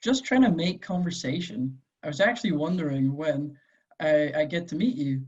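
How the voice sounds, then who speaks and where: A performer reading the part of a friendly, gently teasing man in his late twenties, with a husky voice, at a script table read. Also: medium pitch, normal speed, highs cut off, close to an everyday voice.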